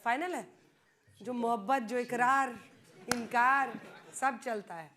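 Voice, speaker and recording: A woman with an Indian accent hosting a live political debate, brisk and directing the speakers. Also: a little high, bright, forceful tone. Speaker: TV debate moderator. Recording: music behind the voice. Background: music.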